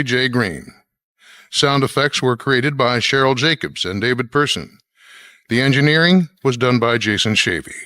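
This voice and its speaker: A man's voice: mid-range voice, bright, forceful tone, no room sound. A man reading scripted narration and credits as the announcer of a radio mystery.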